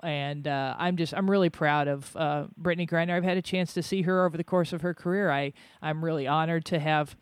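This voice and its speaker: A woman hosting a sports radio show, talking in a measured way with frequent fillers. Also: sits low, fairly even intonation, clear, resonant tone, no room sound. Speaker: radio host, basketball broadcaster. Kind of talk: casual radio chat.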